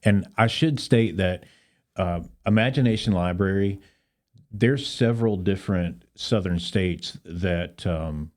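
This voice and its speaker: Low-voiced man explaining in an interview. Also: measured pace. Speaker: podcast guest, Rotary club volunteer. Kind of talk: conversational interview.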